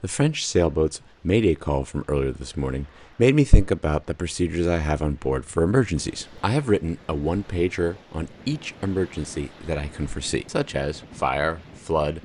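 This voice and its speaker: A man narrating his solo sailing trip in a measured scripted voice-over, with dry wry asides. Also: extremely low pitch, darkish tone, a little hiss or hum. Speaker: solo sailor and channel host.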